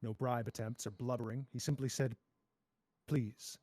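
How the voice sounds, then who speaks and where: A man's voice: medium pitch, fairly even intonation, moderate pace, a little mellow, dry recording, clean studio sound, close to an everyday voice. A man playing a cool, cynical masked pirate with mocking, polite menace in a fairy-tale table read. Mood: cynical, taunting. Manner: sardonic, drawling taunts with polite menace.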